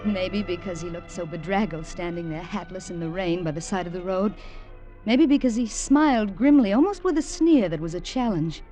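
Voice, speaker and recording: A woman's voice: fairly low pitch, expressive, moderate pace, music in the background, close to an everyday voice. An actress narrating and playing a young woman from New England who stammers breathlessly when tense, in an old radio drama. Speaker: narrator and lead character.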